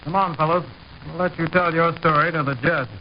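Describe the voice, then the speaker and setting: A man playing a tough American border patrol officer, brisk and commanding, in an old-time radio drama. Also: on the high side, steady tone, lightly acted. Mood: stern. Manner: brisk, commanding, hard-boiled. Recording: muffled, noisy old radio recording.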